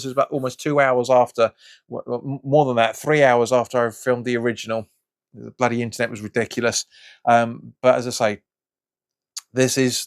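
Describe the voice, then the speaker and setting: A man hosting a football fan channel, talking in a casual, frustrated, rambling way with many restarts, his voice slightly raspy and hoarse from hay fever. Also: mid-range voice. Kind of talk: casual reaction vlog.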